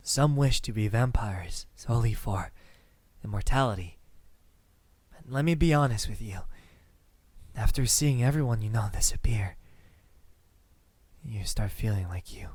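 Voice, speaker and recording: A man playing a lonely, centuries-old vampire suitor in an ASMR roleplay, speaking softly and haltingly with tender words that slip into whispers. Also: medium pitch, no room sound, close to an everyday voice. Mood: melancholy. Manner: soft-spoken, halting, tender, slipping into whispers.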